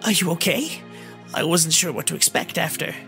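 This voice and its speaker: Anxious tiny fairy companion played by a male reader, female, very high, pleading yet wry, storybook narration over music. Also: big pitch swings, normal speed, a bit bright, full character voice. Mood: worried. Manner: pleading, trembling delivery.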